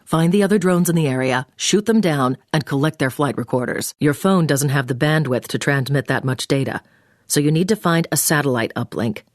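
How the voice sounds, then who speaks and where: An actress playing a brisk, commanding intelligence agent in a video game, giving mission orders in a businesslike, clipped way. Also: female voice, low-pitched, dry recording, studio quality, natural voice. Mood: businesslike, urgent. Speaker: mission handler over the phone. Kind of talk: scripted video game voice acting.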